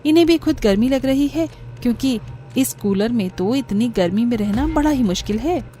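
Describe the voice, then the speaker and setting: A woman's voice: a little high, lively intonation, moderate pace, dark tone, soft background music, close to an everyday voice. A woman voicing an honest, exasperated young daughter-in-law in a cartoon story, speaking in an earnest, pleading way. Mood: puzzled. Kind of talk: cartoon story character dialogue.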